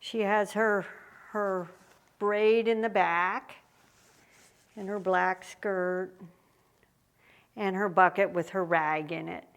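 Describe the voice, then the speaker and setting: A woman artist giving an unhurried tour of her gallery exhibition, pausing often with ums. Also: mid-range voice, fairly even intonation, gravelly. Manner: unhurried, with frequent ums and pauses. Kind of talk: casual explanatory walk-through. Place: art gallery, indoors.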